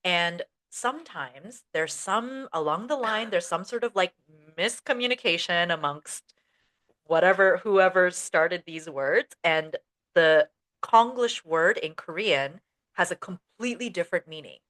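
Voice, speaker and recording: A young woman co-hosting a casual teaching podcast, telling stories in an animated way and acting out bits of dialogue. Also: on the low side, a little slow. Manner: animated storytelling, acts out quoted dialogue. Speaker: podcast co-host, ESL educator. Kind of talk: casual chat.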